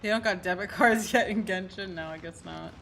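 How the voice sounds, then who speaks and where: A woman's voice: on the low side, brisk, creaky, fairly bright tone. A woman doing casual livestream game commentary, chatty, reactive and slangy. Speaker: game streamer. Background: game music.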